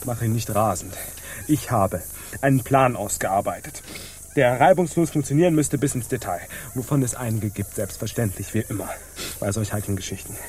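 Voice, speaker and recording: An actor playing a pedantic, self-important pirate in a radio play, speaking in stilted, over-precise, affronted sentences. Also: male voice, medium pitch, lively intonation, normal speed, darkish tone, slight background noise, natural voice. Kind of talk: stage-like radio play acting.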